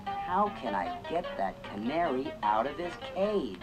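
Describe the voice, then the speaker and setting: A man voicing a scheming male cat in a storybook record, speaking in a slow sly mutter, with synth music behind. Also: mid-range voice, sing-song.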